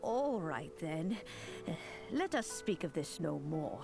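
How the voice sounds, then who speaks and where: Slow, creaky, gentle old granny. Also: female voice, low-pitched, sing-song, music in the background, full character voice. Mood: kindly, playful. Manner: measured, old-fashioned, chuckling phrasing. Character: gentle, dignified old granny immortal, fondly teasing. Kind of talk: video game character dialogue.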